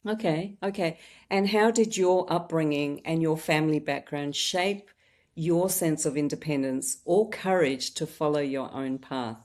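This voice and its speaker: Podcast host, woman in her fifties, low voice, warm dark tone, steady scripted intro. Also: a little slow. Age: 50s. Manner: warm welcoming scripted intro, then conversational questions. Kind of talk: podcast interview.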